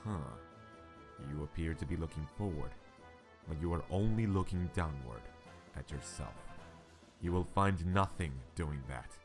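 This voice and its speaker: Man doing a deep-voiced masked master, calm, measured, probing, music behind. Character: calm, enigmatic masked male martial arts master. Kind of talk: fan radio drama acting. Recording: music underneath.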